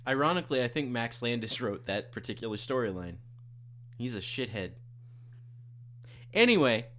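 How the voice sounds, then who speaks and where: A man's voice: medium pitch, moderate pace, slight background noise. A man with an Oklahoma accent, co-hosting a podcast in casual chat, ranting and swearing. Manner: profane, ranting, animated. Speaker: podcast co-host.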